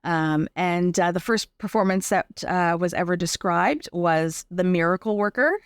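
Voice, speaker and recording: A Canadian woman with a slightly low voice, recorded close and dry. She talks as an interview guest, telling about her work in a chatty, explanatory way and often checking that the listener follows. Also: a little slow, clean tone, no echo. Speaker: interview guest, artist and arts accessibility advocate. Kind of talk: conversational interview storytelling.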